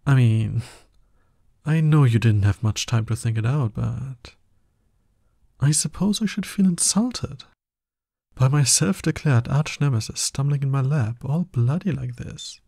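A man's voice: mid-range voice, measured pace, vocal fry, warm tone, dry recording, studio quality. A man playing a smug, dominant villain in an ASMR roleplay, taunting his hero nemesis with drawling exasperation. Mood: amused taunting.

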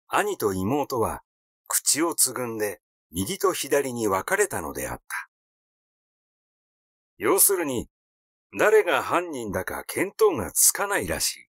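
A man's voice: mid-range voice, fairly bright tone, dry recording, studio quality. A man narrating a literary story from a script as an audiobook reader.

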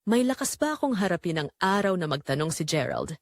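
Woman as a guilt-ridden wife, expressive and low. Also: a little fast, no echo. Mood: anguished, burdened. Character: guilt-ridden pregnant young wife confessing a secret affair. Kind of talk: first-person dramatic confessional narration.

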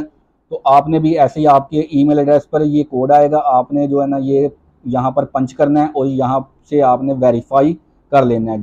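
Male tutorial host, mellow and monotone, methodical walkthrough, lo-fi voice-over. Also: a little high. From Pakistan. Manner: step-by-step instructive, reads on-screen items slowly. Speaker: job-application tutorial host. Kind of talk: screen-recorded walkthrough. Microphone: voice-over over screen recording.

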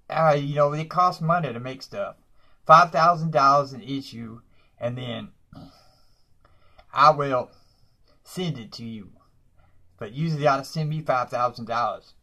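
A man's voice: fairly high pitch, pushed and bright, exaggerated voice. Man playing Barbara, a brash dumpster-dwelling woman. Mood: indignant. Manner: self-promoting, ends nearly every phrase with "y'all". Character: brash, boastful broke woman who lives in a dumpster. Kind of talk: comedy character monologue.

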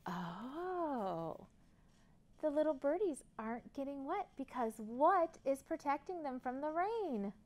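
An American woman in her thirties leading a children's storytime in a sing-song, exaggerated way for little kids. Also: sits high. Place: library room, indoors. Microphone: lapel.

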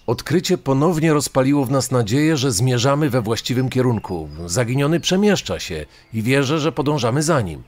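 A man's voice: medium pitch, lively intonation, fairly quick. A man reading scripted true-crime material as a second voice in a documentary podcast.

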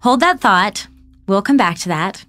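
A young woman playing an excitable, starstruck podcaster, narrating an audio drama in a chatty, informal way. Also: mid-range voice, measured pace. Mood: curious. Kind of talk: casual first-person audio drama narration. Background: music.

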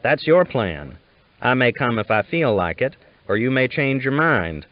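A man's voice: medium pitch, very animated, a little slow, dry recording, voice pushed up. A man voicing a talkative, easygoing, teasing cowboy with folksy phrasing in an audiobook. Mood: wry, argumentative. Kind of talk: audiobook character dialogue. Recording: dull lo-fi audiobook recording.

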